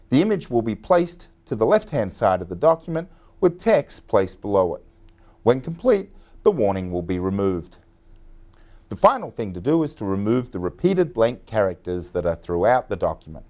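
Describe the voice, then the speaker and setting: A man in his forties giving a clear, step-by-step scripted software tutorial in a bright studio. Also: medium pitch. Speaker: software trainer.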